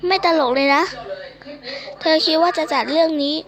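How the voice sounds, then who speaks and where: A woman's voice: high voice, brisk, natural voice. A woman reading the part of a stern, exasperated teacher who questions a student bluntly, in a comic read-aloud.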